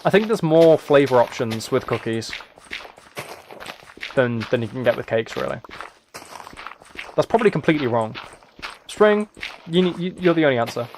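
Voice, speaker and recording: A man's voice: medium pitch, expressive, moderate pace, pauses often. A man casually rambling to viewers on a livestream, musing aloud and often restarting his phrases. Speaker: live streamer. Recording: background noise with keyboard typing and clicks.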